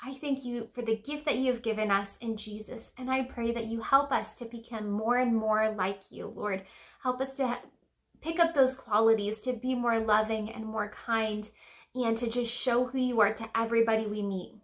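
A woman's voice: a little high, fairly even intonation, normal speed, a bit bright. A young woman hosting a children's church lesson to camera, chatting simply and putting questions to the children. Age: young adult. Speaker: children's church teacher and host. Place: small decorated room indoors.

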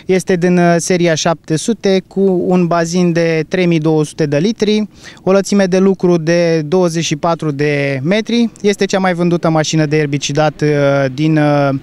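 Male product specialist, field interview. Smooth, slightly high voice, plain technical explanation with pauses. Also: fairly even intonation, a little mellow. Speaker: agricultural machinery product specialist. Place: outdoors in a field.

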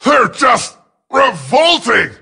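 High, raspy, brash robot soldier. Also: male voice, big pitch swings, takes it slowly, exaggerated voice. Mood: disgusted. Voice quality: raspy, strained, gravelly. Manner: shouted, punchy battle barks. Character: brash, scrappy robot soldier, combative wisecracker. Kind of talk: video game combat barks.

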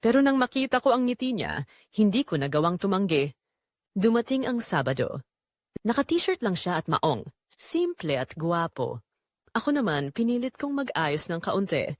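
A guilt-ridden young woman, played by a voice actress, tells of forbidden love as an audio drama confession. Her mid-pitched voice moves expressively up and down, full of emotion. The sound is dry and close, with no room around it. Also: big pitch swings, moderate pace, no room sound, lightly acted. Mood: wistful. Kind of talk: dramatic first-person audio drama narration in Tagalog.

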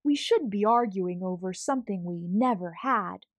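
A woman's voice: medium pitch, expressive, moderate pace, no echo, lightly acted. A woman voicing a male storybook squirrel, hesitant and earnest but easily huffy. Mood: resigned.

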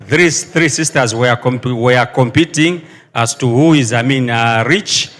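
A man from Zambia speaks into a handheld mic, halting and earnest as he gives his testimony. His voice carries a long reverb from the large space around him. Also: medium pitch, fairly bright tone. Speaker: congregant giving testimony.

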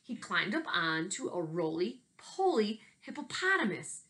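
A woman, talking casually and reading to children in an animated, expressive way, like an elementary teacher. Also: medium pitch, unhurried. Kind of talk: casual video message and children's read-aloud. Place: small bedroom at home, indoors. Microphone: laptop webcam at desk distance.

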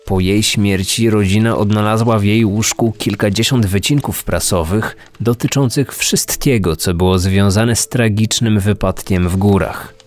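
A man's voice: lowish voice, moderate pace, hardly any pauses. A man hosting a true-crime podcast in scripted narration. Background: music under the voice.

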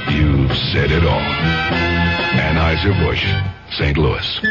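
A low-voiced man delivers an old radio commercial with polished assurance. Loud music plays under the dull, lo-fi broadcast. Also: steady tone, slow pace. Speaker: commercial announcer. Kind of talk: 1970s radio advertisement narration.

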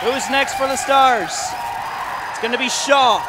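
Man, hockey play-by-play, very high voice, shouted goal calls, losing his voice, arena crowd behind. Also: unhurried, very noisy. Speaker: play-by-play commentator. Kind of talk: excited sports commentary.

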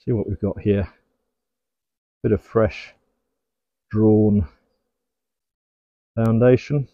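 A man's voice: lowish voice, fairly even intonation, very slow, dry recording, lo-fi sound. A middle-aged man working at his beehives outdoors in a garden apiary, giving easygoing running commentary and talking fondly to the bees. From England. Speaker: beekeeper. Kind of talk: hands-on commentary while working.

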